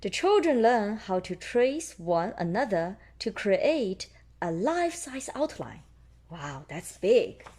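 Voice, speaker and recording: A woman's voice: mid-range voice, sing-song, slow pace, warm tone. A woman reading picture books aloud for young children and explaining things simply. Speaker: storybook reader.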